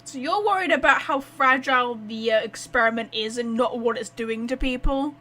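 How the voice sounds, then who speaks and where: A woman's voice: fairly high pitch, moderate pace, pushed and bright. A woman giving casual let's-play commentary as a gaming streamer, reacting bluntly and with some swearing.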